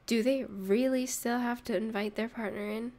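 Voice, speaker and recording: A woman's voice: on the high side, steady tone, normal speed, a little mellow, close to an everyday voice. A woman playing a sarcastic narrator in a comedic audio roleplay, teasing and mock-sympathetic as she mocks a listener. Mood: condescending. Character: sarcastic, mocking narrator gleefully needling a hungover catgirl. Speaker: voice actress.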